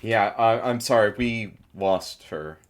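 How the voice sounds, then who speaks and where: A man's voice: lowish voice, slow pace, a little hiss or hum. A man playing a hesitant, polite radio producer who screens calls in an audio comedy, apologetic in manner.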